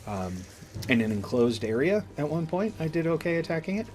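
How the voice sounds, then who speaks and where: A man with a slight cold giving casual let's-play commentary, explaining things in a deliberate, halting way. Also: on the high side, big pitch swings, darkish tone. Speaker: gaming video host. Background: game music.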